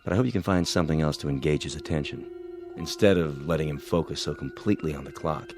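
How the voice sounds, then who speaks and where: A man playing a serious, authoritative adviser in a radio drama, giving counsel with measured emphasis. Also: extremely low pitch, music in the background. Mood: grave, advising. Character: grave, authoritative male adviser to the family.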